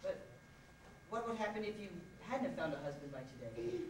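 A young man on stage playing a bewildered single man, halting and uneasy, with some stammering. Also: sits high, sing-song. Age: young adult. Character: hesitant, bewildered single man cornered by a bride. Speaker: high school stage actor. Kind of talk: live one-act comedy stage acting. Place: school theatre stage. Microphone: far camera. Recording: echoey noisy hall, distant camcorder microphone.

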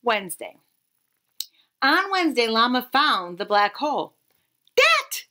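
A woman in her thirties reading a children's picture book aloud expressively, with dramatic pauses and emphasis. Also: fairly high pitch, sing-song, sharp tone. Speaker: read-aloud storyteller.

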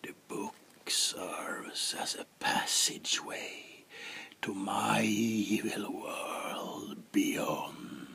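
A man playing a sinister demon in an ASMR roleplay, whispering slowly and theatrically with a gravelly, breathy menace. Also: on the low side, animated, bright, forceful tone, exaggerated voice. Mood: menacing, solemn. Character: sinister male demon brewing dark potions.

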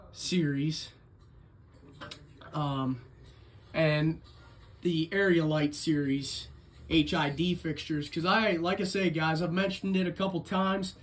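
A man with a fairly high voice narrates a homemade hobby video. He rambles along in a casual, chatty way, showing off his collection with an enthusiast's keenness. The delivery is unscripted and conversational. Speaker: vlogger, ceiling fan collector.

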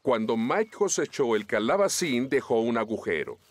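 A man's voice: medium pitch, very animated, moderate pace, close to an everyday voice. A man voicing a brave, reassuring firefighter hero in a Latin American Spanish children's cartoon dub. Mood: explaining. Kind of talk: animated children's dub acting. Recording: loud music underneath.

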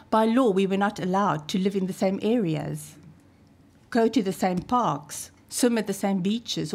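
A South African woman in her fifties, Afrikaans her first language, delivers a prepared lecture from a podium. She reads in a measured, formal way and leans on key phrases for emphasis. Her voice comes through a podium microphone in a wood-panelled hall. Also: medium pitch, darkish tone. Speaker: archivist giving a talk.

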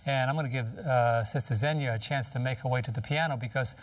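An older man hosts a worship chat from a TV studio table. He teases his guests and fires off rhetorical questions like a preacher. His voice sits a little back from the mic in a dry room, mid-pitched. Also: fast talker, no room sound, distant mic. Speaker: pastor and co-host. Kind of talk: casual TV panel chat. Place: TV studio set, seated at table.

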